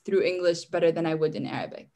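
A woman's voice: lowish voice, fast talker, dark tone. Chatty young woman, casual and rambling. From Syria. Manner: chatty, restarts often, says "like" a lot. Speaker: guest. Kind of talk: casual interview.

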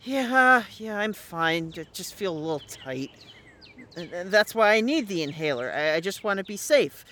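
A performer playing an anxious, exasperated boy at summer camp in an audio drama, with a halting, youthful delivery. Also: medium pitch, sing-song, bright, forceful tone. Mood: annoyed, hurt. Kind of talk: naturalistic audio drama acting.

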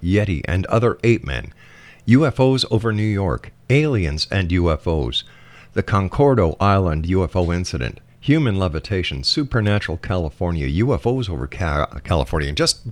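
A male radio host speaks in a lowish voice. He presents and asks questions in the style of a broadcast interview. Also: sing-song, moderate pace.

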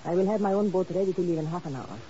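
Guarded, quick-talking smuggler woman, acted by a woman. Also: fairly low pitch, lightly acted. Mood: cool, cryptic. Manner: formal, precise, cryptic. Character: guarded, cool female smuggler boat owner. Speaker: supporting actress in a radio drama. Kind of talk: 1950s radio espionage acting. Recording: noisy, dull old radio recording.

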